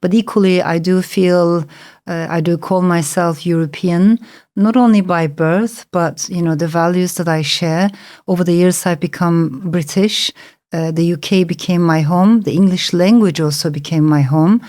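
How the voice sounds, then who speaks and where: A woman's voice: on the low side, fairly even intonation, moderate pace, mellow. A middle-aged woman novelist from Turkey, a native Turkish speaker, answering interview questions in a thoughtful, searching way with fillers and restarts. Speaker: novelist guest.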